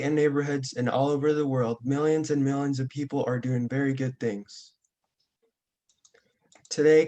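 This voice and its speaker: A young man, a student volunteer, introducing himself and reading a picture book aloud in a steady, plain way over a video call. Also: mid-range voice. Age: young adult.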